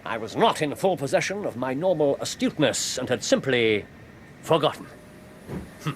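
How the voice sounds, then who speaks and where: An actor playing a brisk, clever, self-assured Victorian English detective in a radio drama, precise, theatrical and wry. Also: male voice, mid-range voice, big pitch swings, a little hiss or hum, lightly acted. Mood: wry candour.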